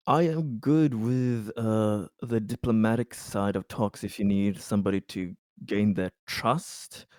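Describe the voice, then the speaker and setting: A man talks through a tabletop game as a player. His voice is fairly low and slow, hesitant and repetitive, with a dry, close sound. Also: darkish tone, no room sound. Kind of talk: casual game-table chat.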